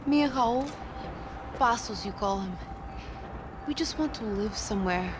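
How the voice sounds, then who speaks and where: A woman's voice: medium pitch, animated, measured pace, noisy background, close to an everyday voice. An actress playing a frightened but determined young pregnant woman in a dramatic video game. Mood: subdued, uneasy. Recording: music behind the voice.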